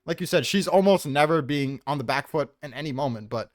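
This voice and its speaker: A man's voice: on the high side, brisk. An American man hosting a casual fan debate, brash and slangy with heavy swearing. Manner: brash, slangy, heavily profane.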